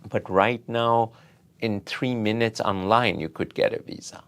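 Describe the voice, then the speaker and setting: A man in middle age or older, from Iran, answers as a founder being interviewed. His voice sits on the low side and he speaks very slowly. He picks his phrases deliberately, with halts, in a conversational storytelling style. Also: gravelly, a bit bright. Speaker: interview guest, tech company founder and CEO.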